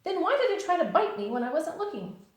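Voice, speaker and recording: A woman acts out a bouncy young male tiger for a children's storybook. The voice leaps high and swings widely, loud and emphatic, full of cheerful boasting. It rings in a very echoey room. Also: very animated, fast. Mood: boastful, decided. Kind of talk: children's storybook read-aloud.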